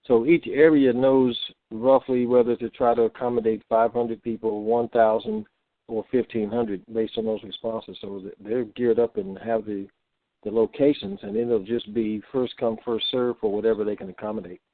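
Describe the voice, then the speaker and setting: A man's voice: mid-range voice, steady tone, moderate pace, no echo. A man co-hosting an informal conference call, answering questions over the telephone. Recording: dry narrowband phone line.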